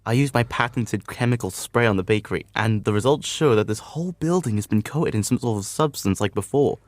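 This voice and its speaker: An actor playing an earnest, clever doctor, the sensible matter-of-fact sidekick in a comedic radio drama. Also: male voice, lowish voice, brisk, no echo, close to an everyday voice.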